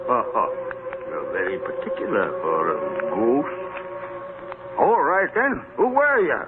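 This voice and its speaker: An actor playing a miserly old businessman, gruff and frightened, in a theatrical, blustering 1930s radio drama style. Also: mid-range voice, huge pitch swings, measured pace, phone-quality sound. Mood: startled, demanding. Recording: scratchy old radio broadcast, thin band, music.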